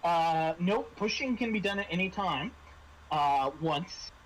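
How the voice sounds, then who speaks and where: A man's voice: high-pitched, animated, measured pace, poor audio. A man acting as game master of a tabletop role-playing game, explaining rules and narrating the players' actions. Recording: faint background noise.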